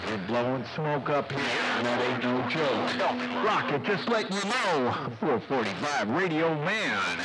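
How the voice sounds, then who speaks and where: A man drawls out slow CB callouts with big swings in pitch. He is showy and leans on rhyming catchphrases, over a radio channel with clear noise. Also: sing-song. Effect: heard over CB radio. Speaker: CB radio operator. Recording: noisy CB radio transmission. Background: radio static and hiss.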